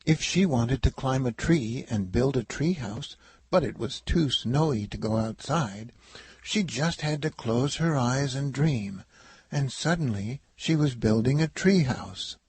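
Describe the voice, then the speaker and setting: Casting: male children's storybook narrator, very husky and breathy, slow delivery, music underneath. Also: medium pitch, animated, a little mellow, no echo. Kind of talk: children's read-aloud narration.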